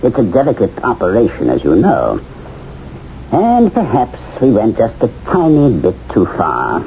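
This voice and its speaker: A suave, sinister fixer purrs his coldly persuasive lines in a 1970s radio drama. His pitch swoops wildly up and down over a mellow tone. The broadcast sounds muffled, with a faint hiss. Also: huge pitch swings, measured pace. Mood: coolly menacing. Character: suave sinister man from a face-changing company.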